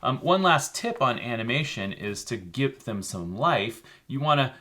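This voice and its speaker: A man's voice: mid-range voice, very animated, normal speed, pushed and bright. A man co-hosting a children's show, casually explaining how things are made, with many fillers. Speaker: co-host.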